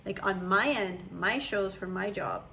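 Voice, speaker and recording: A woman's voice: mid-range voice, unhurried, vocal fry, sharp tone, slight background noise. A young woman, a mother, chatting casually and rambling as she explains her view. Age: young adult. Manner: chatty, rambling, laughs often. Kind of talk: casual chat.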